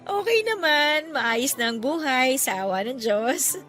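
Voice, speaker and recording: A woman's voice: sits high, lively intonation, unhurried, fairly bright tone, faint music behind. A woman playing a playful, chatty young businesswoman meeting an old flame in an audio drama, with a teasing, exclaiming manner. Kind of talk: Filipino audio drama acting.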